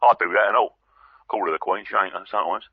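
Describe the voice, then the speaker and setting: A man's voice: lowish voice, fast, slightly rough, no room sound. An actor playing a dim, blunt, muddled man calling a comedy radio phone-in. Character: dim, blunt, inarticulate working-class male phone-in caller. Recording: dull lo-fi phone-in line.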